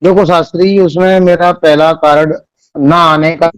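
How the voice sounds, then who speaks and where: A man gives interview answers on a call in a fairly high voice. He phrases things formally and with measure, using learned religious vocabulary. The recording is close and dry. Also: fairly even intonation, smooth, no echo, poor audio. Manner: formal, measured, Sanskritised wording. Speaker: religious singer-storyteller interviewed by phone.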